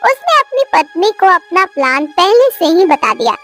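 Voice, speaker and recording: Very high-pitched, animated story narrator. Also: normal speed. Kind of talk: scripted children's story narration. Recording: children's music and jingles behind the voice.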